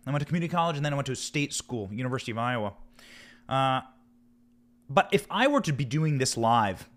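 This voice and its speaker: Animated, higher-pitched American man, emphatic commentator. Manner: emphatic, dramatic, with rhetorical questions and drawn-out stressed words. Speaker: political commentary show host. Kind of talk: live political commentary with news read aloud.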